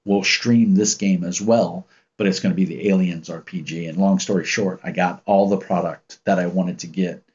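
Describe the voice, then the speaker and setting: Deep commanding man, very low pitch, husky tone, rambling relaxed stream talk, muffled lo-fi audio. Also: normal speed. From United States. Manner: rambling, relaxed, with many ums and restarts. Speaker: channel host reading live chat. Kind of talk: casual livestream chat and storytelling.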